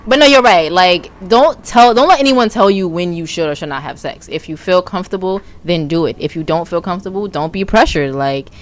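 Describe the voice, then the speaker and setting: A woman's voice: fairly low pitch, a little fast, hardly any pauses. Woman, podcast co-host, casual chat, blunt, teasing, frank advice.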